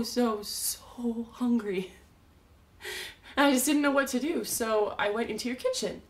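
A woman performing a theatrical monologue as an unstable, self-loathing young woman, confessing in a halting, volatile way. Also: medium pitch, a bit bright, lightly acted. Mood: nervous confessing. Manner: halting, stammering confession swinging between whispers and cries.

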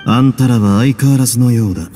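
An actor playing a composed, wry young duke who runs a prison in a game, measured and nonchalant with quiet authority. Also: male voice, medium pitch, faint music behind, lightly acted. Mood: casual, wry. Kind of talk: game voice acting.

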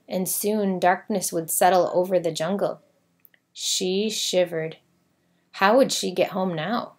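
A woman narrating a children's storybook, reading aloud expressively. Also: mid-range voice.

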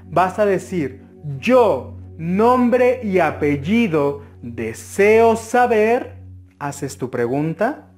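A man's voice: sits high, big pitch swings, extremely slow, darkish tone, soft background music. A man with a Mexican Spanish accent giving an interactive tarot reading, advising the viewer earnestly with frequent hesitations. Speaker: tarot reader.

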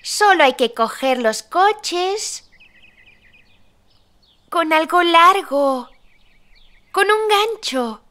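A woman's voice: very high voice, lively intonation, very slow, long pauses, sharp tone, heavily acted. A woman voicing a cheerful, helpful little girl in a children's cartoon, talking to young viewers in a teacherly, exclamatory way. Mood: reassuring, encouraging. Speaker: main character and presenter of a children's cartoon.

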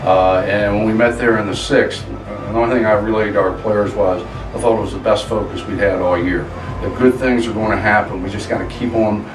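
A middle-aged head coach speaks at a post-game press conference in a lowish voice, pausing and restarting often. He sits far from the mic, and the room adds a roomy echo. A steady hiss of background noise runs under him. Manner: halting, many fillers and restarts.

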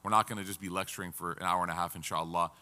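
A man's voice: low voice, steady tone, very fast, pushed and bright, clean tone, in a large hall. A man in his thirties, seated at a table, teaching an interactive Quran class and asking the audience questions. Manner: engaging, asks the audience questions.

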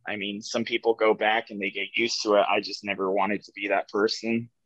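A young man in his twenties from New Mexico, telling his personal story over a video call in a reflective, soft-spoken way, with many "like" and "um" fillers. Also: lowish voice, flat delivery, fairly quick, no room sound, rough recording. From New Mexico, USA. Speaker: guest sharing his recovery story. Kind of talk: candid personal storytelling on a podcast. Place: office room with ceiling lights. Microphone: video call webcam.

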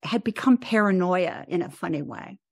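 An American woman of seventy talking reflectively as an interview guest, with thoughtful, halting phrasing. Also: fairly low pitch, expressive, vocal fry, no echo, clean studio sound. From United States. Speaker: podcast guest, composer and author.

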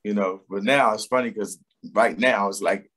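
Um homem de meia-idade com sotaque da Filadélfia, falando numa conversa descontraída por videochamada, com muitas pausas e hesitações. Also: male voice, fairly low pitch, slightly rough. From Philadelphia, USA. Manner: relaxed, rambling, many fillers and restarts. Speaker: singer and guest. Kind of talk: casual interview chat. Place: home room with a door behind. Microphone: video call webcam.

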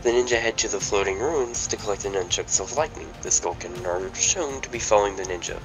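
A man's voice: on the low side, fairly quick, low-quality recording. A man reading the stage directions of a fan table read aloud, stumbling over words now and then. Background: background music.

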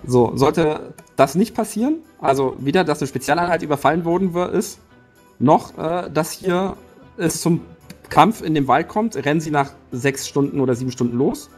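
Husky man planning battle tactics. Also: a little high, lively intonation, moderate pace, darkish tone, soft background music. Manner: thinks aloud, restarts while planning. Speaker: player. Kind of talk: tabletop strategy discussion.

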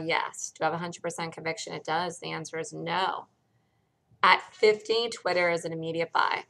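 A woman with a hoarse voice from a cold, bluntly walking through a stock chart in a casual screen-share lesson. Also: lowish voice, sharp tone. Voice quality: gravelly. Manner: blunt, conversational, thinks aloud while pointing at charts. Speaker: stock trading educator.

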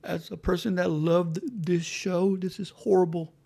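A man's voice: high-pitched, steady tone, unhurried, creaky, mellow, voice pushed up, heavily acted. A man mockingly voicing a melodramatic, distraught cartoon fan in anguish. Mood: mock anguish. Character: melodramatic, wailing, distraught fan or fired artist.

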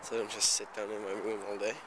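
A man's voice: fairly low pitch, level tone, quick pace. A teenage male amateur vlogger talking casually and hesitantly in a meandering, offhand way while walking. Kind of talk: casual rambling walking vlog. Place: outdoors on a residential street, walking. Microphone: handheld camera microphone. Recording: handheld camera outdoors, loud street noise.

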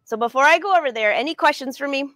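Brief: woman in her 40s, sewing teacher on a livestream, friendly and chatty, slightly high voice, dry close sound. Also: lively intonation, quick pace, a bit bright, no room sound. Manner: chatty and upbeat, addresses viewers by name. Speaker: sewing educator and brand ambassador. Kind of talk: live-streamed sewing lesson answering viewer questions. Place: sewing studio indoors.

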